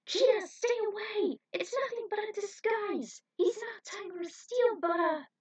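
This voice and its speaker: A woman voicing a bold, defiant alien mutant in a story, with loud exclamations. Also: very high-pitched, unhurried, voice pushed up. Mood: alarmed. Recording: dull, distant microphone.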